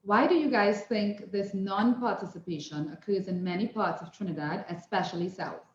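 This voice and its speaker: A woman moderating a live question-and-answer panel, passing along questions briskly. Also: mid-range voice. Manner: brisk, facilitating. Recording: echoey room, dull audio, faint noise.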